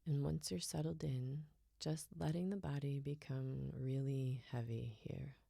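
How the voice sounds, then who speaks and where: A woman guiding a relaxation meditation in a soft, soothing, unhurried way, her phrases often trailing off breathily toward a whisper. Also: very deep voice, fairly even intonation, gravelly, no echo, clean studio sound. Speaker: yoga nidra guide.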